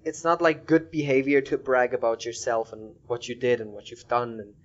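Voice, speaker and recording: A man's voice: mid-range voice, flat delivery, moderate pace, highs cut off. A man hosting a casual podcast interview.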